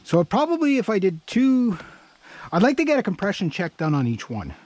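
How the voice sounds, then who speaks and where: A middle-aged man with a Canadian accent and a slightly high voice. He talks in a loose, rambling way, working through his thoughts out loud. It sounds like a casual vlog recorded outside on an action camera. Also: huge pitch swings, moderate pace, no room sound. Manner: rambling, thinking aloud. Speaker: vlogger. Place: outdoors in a grassy yard by a pickup truck. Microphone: action camera worn on body or held at arm's length.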